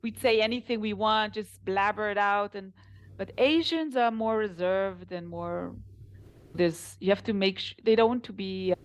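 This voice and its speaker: A woman with an Israeli accent speaks as a podcast guest. She tells stories in a lively way and laughs now and then. Her voice sits at a middling pitch. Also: normal speed. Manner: animated storytelling, laughs while talking. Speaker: translation company founder, interview guest. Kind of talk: casual interview conversation.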